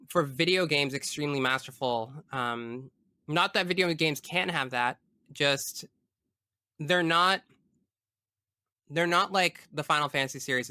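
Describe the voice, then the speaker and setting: A man's voice: medium pitch, sharp tone, clear, resonant tone, dry recording, studio quality. A man in a casual podcast discussion as the guest, explaining his views earnestly and analytically at length.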